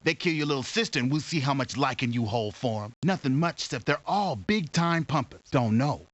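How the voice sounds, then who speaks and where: An actor playing a jittery young street informant in a video game, slangy, cocky and wisecracking. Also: male voice, mid-range voice, lively intonation, fairly quick, fairly bright tone, lo-fi sound. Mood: nervy, cynical. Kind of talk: scripted video game acting.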